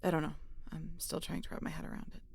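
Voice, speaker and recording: A woman's voice: sits low, completely flat delivery, quick pace, gravelly, slight background noise. A woman playing a guarded, sardonic scientist aboard a spaceship in a sci-fi audio roleplay, with a dry, conversational delivery. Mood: weary, reflective.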